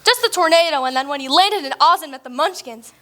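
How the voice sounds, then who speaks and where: A woman playing a chatty, dreamy young schoolgirl in a stage musical, moving between sung narration and quick dialogue. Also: high-pitched, animated, pushed and bright. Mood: chatty, explaining. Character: girl from New Jersey, dreamy and procrastinating. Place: theater stage.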